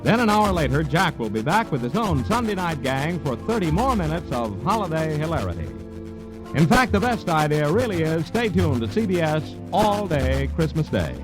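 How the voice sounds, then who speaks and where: A man's voice: fairly high pitch, sing-song, normal speed, music in the background, rough recording. A man announcing in polished, formal 1950s radio style, reading credits and promotions as a network announcer.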